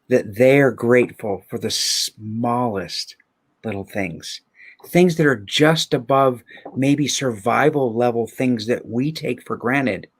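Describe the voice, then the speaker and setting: A man speaking reflectively and conversationally, with earnest emphasis and rhetorical questions, as a guest on a livestream. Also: mid-range voice.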